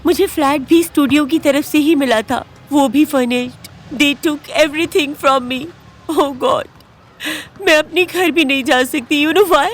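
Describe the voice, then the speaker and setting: A woman's voice: high voice, slow. A woman playing a distraught, snappish young woman in a Hindi audio drama, mixing Hindi with English. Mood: tearful, bitter.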